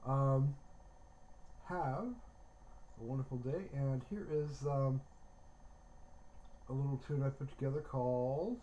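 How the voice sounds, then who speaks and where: Slow-talking man on a casual livestream. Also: medium pitch, steady tone, warm tone, lo-fi sound, a little hiss or hum.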